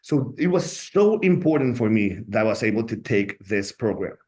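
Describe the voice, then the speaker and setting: A man's voice: lowish voice, very animated, moderate pace, darkish tone. Colombian man with a Spanish accent. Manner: earnest, often adds "right?" as a tag. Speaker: law school staff member. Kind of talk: informational webinar talk.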